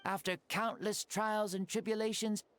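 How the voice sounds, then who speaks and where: A man's voice: high-pitched, flat delivery, moderate pace, a bit bright. An actor voicing a breezy, self-mocking ancient male hero spirit who is borrowing a boy's body, in a game. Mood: playful. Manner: easygoing, self-mocking, playful. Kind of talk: game voice acting. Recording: game audio with music under.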